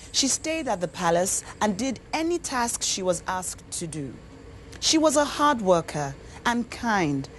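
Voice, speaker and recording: A woman with a Nigerian accent narrating a scripted folktale in an expressive, dramatic storyteller's manner. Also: mid-range voice, slight background noise. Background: music.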